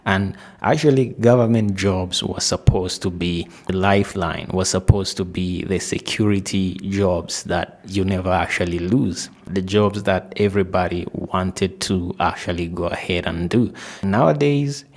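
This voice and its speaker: A man with a very low, creaky voice comments casually on the news. He talks directly to his viewers in a conversational way, posing rhetorical questions as he goes. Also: a little slow, a little mellow. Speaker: video commentator.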